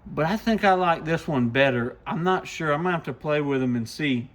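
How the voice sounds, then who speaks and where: A man's voice: fairly high pitch, lively intonation, fairly quick, fairly bright tone. A man giving a casual product demo in a folksy, enthusiastic way, like a shop presenter talking to viewers.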